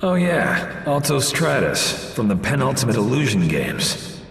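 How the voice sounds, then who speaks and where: An actor playing a cocky, wisecracking macho action hero in a video game, with a wry, exasperated manner. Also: male voice, mid-range voice, huge pitch swings, unhurried, creaky, fairly bright tone, echoey room, long reverb tail, slight background noise, exaggerated voice. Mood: exasperated, sarcastic. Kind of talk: video game cutscene acting.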